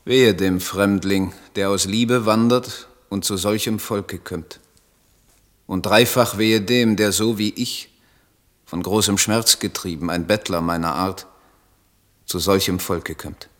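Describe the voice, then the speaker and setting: A brooding young poet declaims in a radio play with a low voice. He is idealistic and embittered, solemn and rhetorical in every phrase. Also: fairly even intonation, slow pace. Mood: bitter indignation.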